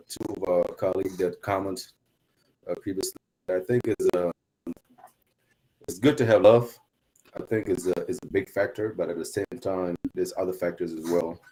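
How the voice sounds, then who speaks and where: A man's voice: sits low, mellow, poor audio. A man answering on a panel over a video call, hesitant, with many "uh"s and repeated words.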